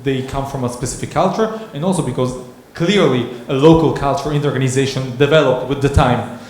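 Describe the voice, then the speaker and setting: A man's voice: mid-range voice, a little fast, a little mellow. A man moderating a workshop discussion, talking in a hesitant, thoughtful way with many restarts. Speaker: session moderator. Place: conference hall. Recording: echoey conference hall.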